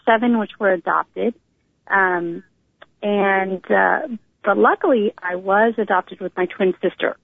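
A woman's voice: mid-range voice, measured pace, gravelly, dry recording. A middle-aged American woman from Northern California, telling her life story as an interview guest in an informal, conversational way over a phone line. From Northern California, United States. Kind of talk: personal storytelling in a casual interview. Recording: narrow tinny phone line.

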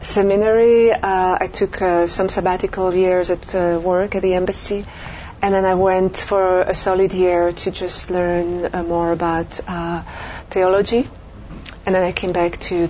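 A woman's voice: lowish voice, steady tone, unhurried. Woman, lots of uhs, kinda muffled recording, faint hiss. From Belgium. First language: French. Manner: hesitant, many "uh" fillers, soft trailing off. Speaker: interview guest. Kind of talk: recorded interview.

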